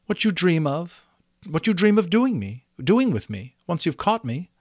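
A man's voice: fairly high pitch, sing-song, normal speed, no room sound, studio quality, voice pushed up. A man voicing a proud, haughty female unicorn with emphatic delivery in a storybook reading. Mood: amused, proud. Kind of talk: storybook character voice.

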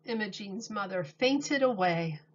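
A woman reading a picture book aloud to children like a teacher, deliberate and expressive. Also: mid-range voice. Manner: deliberate, expressive, explains words for young children. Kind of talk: read-aloud storybook narration. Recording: dull lo-fi recording.

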